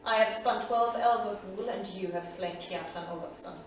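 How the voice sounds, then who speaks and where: An actress playing a solemn, proud tragic heroine of an Icelandic saga, declaiming deliberately in a staged reading. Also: female voice, medium pitch, lightly acted. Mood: solemn, mournful. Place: small theatre studio, indoors. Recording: echoey theatre studio, distant, clear noise.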